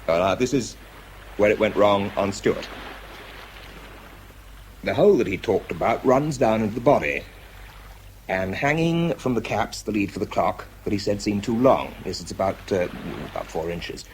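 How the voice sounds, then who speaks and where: A man acting in a radio drama as an exhausted, shaky, methodical bomb disposal expert, speaking haltingly under strain. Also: on the low side, a little hiss or hum, close to an everyday voice. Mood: tense, concentrating. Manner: halting, hesitant, muttering as he works. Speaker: actor and narrator in a radio drama. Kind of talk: radio drama acting, first-person monologue.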